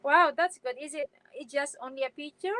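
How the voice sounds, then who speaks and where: A woman's voice: high voice, fairly quick, a bit bright, dry recording. A middle-aged woman hosting a casual live stream from home, chatting with viewers and reading their comments, hesitant, with many fillers and restarts.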